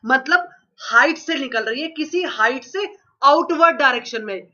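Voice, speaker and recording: Man teacher, sore cold throat, high bright voice, lo-fi dull recording. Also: expressive, normal speed. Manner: drills points by asking and repeating answers. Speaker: geography teacher. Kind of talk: online exam-prep lecture.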